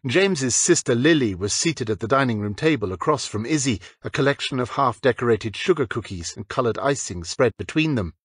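Male fiction narrator, husky texture, medium pitch, close dry recording. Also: lively intonation, fairly quick, few pauses, no room sound. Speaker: audiobook narrator.

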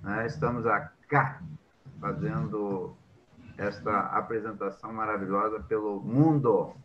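Older male call host, low, cheerful, slight background hiss. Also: steady tone, a little slow, muffled recording. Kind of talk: casual chat. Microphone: laptop mic on video call.

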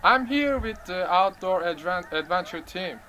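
A young man from Turkey with a Turkish accent, speaking halting English outdoors and laughing his way through retakes of a gun-safety demo. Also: high voice, lively intonation, pushed and bright, a little hiss or hum. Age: young adult. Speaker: first-time shooter presenting a gun. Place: outdoors in a gravel field by a truck. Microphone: camera mic from a few meters.

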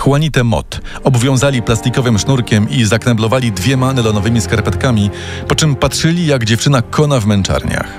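A man reading a scripted true-crime story as a podcast narrator, recorded as a studio voice-over. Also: medium pitch. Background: music.

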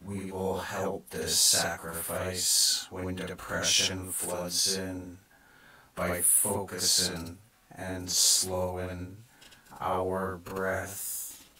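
A man narrating a scripted guided meditation in a measured, deliberate and solemn manner. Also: deep voice, sharp tone. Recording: echoing, distant from the mic.

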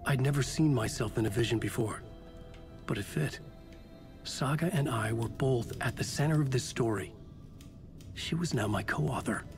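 An actor playing a guilt-ridden middle-aged writer, narrating his nightmare gravely and introspectively in a video game. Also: medium pitch, moderate pace, pauses often, music in the background, close to an everyday voice. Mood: brooding. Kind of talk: brooding noir-like scripted inner narration.

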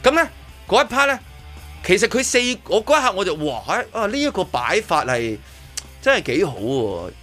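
A male radio host with a Hong Kong Cantonese accent chats in a bright, forceful voice, a little high for a man. His delivery swings widely in pitch. He often repeats himself and restarts phrases. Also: sing-song, moderate pace, soft background music. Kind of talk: casual radio chat, reviewing a live show. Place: radio studio.

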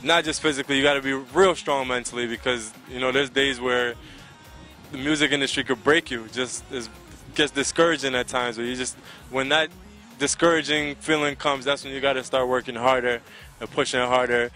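An American man in his thirties talks casually and earnestly, as in an interview. His voice is bright and forward at an ordinary male pitch, picked up close on a handheld mic outdoors, with some music behind it. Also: steady tone, a little fast. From Connecticut, United States. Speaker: soca singer being interviewed. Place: outdoors by a riverside. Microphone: handheld microphone held close to the mouth.

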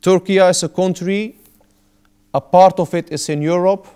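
High-voiced man teaching a class. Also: steady tone, normal speed, dark tone, clean tone. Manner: patient, repeats and explains each word. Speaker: Arabic teacher. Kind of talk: classroom language lesson. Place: small indoor classroom with whiteboard.

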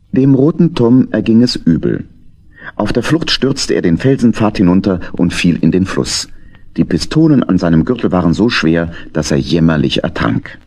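A man's voice: sits low, darkish tone. A man narrating a children's audio drama in scripted storybook style.

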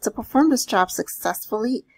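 A woman doing an explanatory voice-over, reading out and paraphrasing listings in a deliberate, instructional manner with small check-ins. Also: a little high, expressive, gravelly, breathy edge, dry recording. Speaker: host of a job-leads channel.

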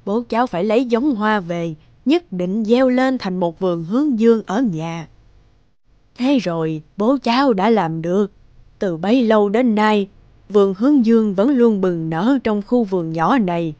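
A woman voicing a gentle, devoted grandmother who tells a family tale in a measured, tender cartoon storytelling voice. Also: medium pitch, animated, no echo, lo-fi sound, natural voice. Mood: gentle, nostalgic. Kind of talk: animated storytelling.

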